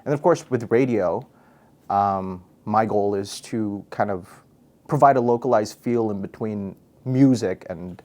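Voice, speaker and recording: Young man from Guam, radio host and MC, relaxed and playful, casual interview tone. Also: on the low side, a little slow. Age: young adult. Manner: goofy, laid-back, chatty with fillers. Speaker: radio personality and MC, interview guest.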